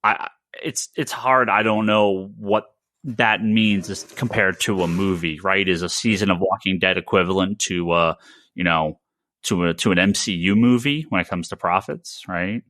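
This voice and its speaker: A man co-hosting a casual panel discussion, talking haltingly and often stammering over repeated words. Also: low voice, fairly bright tone, no room sound, studio quality. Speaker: podcast co-host.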